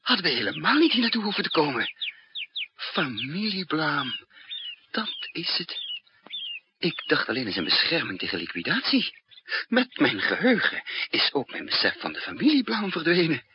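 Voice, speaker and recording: An actor playing a bold, resolute hunted double agent in a 1960s radio drama, husky and emphatic. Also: male voice, fairly high pitch, wildly swooping pitch, moderate pace. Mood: agitated realization. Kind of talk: 1960s radio drama acting with first-person narration. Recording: dull, noisy, roomless 1960s radio recording.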